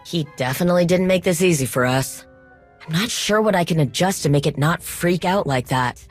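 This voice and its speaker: A woman plays a resourceful tech ally in a sci-fi game. Her voice is low, and she talks in a practical, casual, determined way over background music. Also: brisk, lightly acted. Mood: wry, concerned. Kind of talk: video game voice acting.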